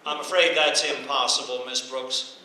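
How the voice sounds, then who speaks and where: A man's voice: mid-range voice, little pitch movement, normal speed, pushed and bright, slight background noise. A man playing a shy, prim American schoolteacher in old-time radio comedy, polite and hesitant. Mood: politely evasive. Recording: very echoey stage recording.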